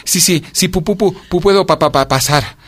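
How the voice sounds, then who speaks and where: A man voicing a meek, pleading female cicada, a carefree singer in a children's story, in a plaintive, halting manner. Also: high-pitched, sing-song, fairly bright tone, pitched above the performer's own voice, heavily acted. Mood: shivering, stammering.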